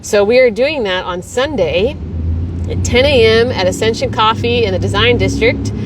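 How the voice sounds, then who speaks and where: Texas-raised woman rambling on a livestream outside, bit far from the mic, faint traffic hum. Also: medium pitch. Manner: chatty and rambling, laughs easily. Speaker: host of a weekly Q&A livestream. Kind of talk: casual livestream chat, reading viewer comments.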